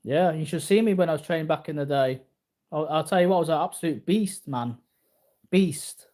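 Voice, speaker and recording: A man's voice: on the high side, fast talker, dark tone. A man in his early forties talking casually to viewers in a rambling, repetitive, boastful way. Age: early 40s. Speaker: martial arts vlogger.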